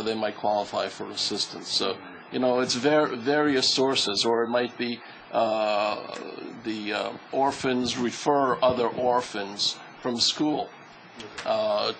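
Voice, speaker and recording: A man's voice: medium pitch, vocal fry, a bit bright, a little hiss or hum, poor audio. A man on a charity panel, answering audience questions in a hesitant way with many fillers.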